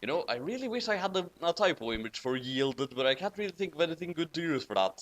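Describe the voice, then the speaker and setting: A man's voice: fairly high pitch, very animated, fast, a bit bright. Hesitant man from Norway. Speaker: host of a commentary reading. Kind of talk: casual commentary while reading fan fiction aloud.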